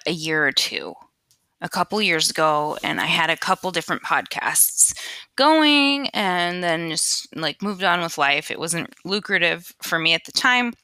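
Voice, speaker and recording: A young woman talks on her solo podcast in a loose, rambling way, full of "like" and restarts. Her voice sits a bit low for a woman but sounds bright and forceful. The headset mic is close and dry, with no room sound. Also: no echo. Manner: chatty, rambling, filler-heavy. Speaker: podcast host. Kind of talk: casual solo podcast chat. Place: home, at a PC. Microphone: USB computer headset.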